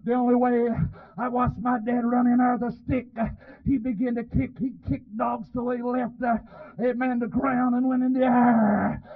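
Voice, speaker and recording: A male revival preacher with a hoarse, strained voice, delivering a fervent, pleading sermon that is impassioned and punctuated with amens. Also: very high voice, little pitch movement, normal speed. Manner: impassioned, pleading, breaking into shouts and cries; punctuates phrases with repeated amens. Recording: muffled, phone-like lo-fi sermon recording.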